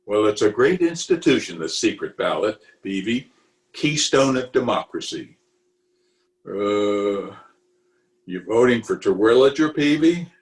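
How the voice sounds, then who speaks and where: A man's voice: on the low side, big pitch swings, a little slow, echoey room. A man playing a pompous, easily exasperated middle-aged official in an old-time radio comedy, recorded at home over a video call. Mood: badgering. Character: blustery, exasperated middle-aged male water commissioner, pompous and opinionated.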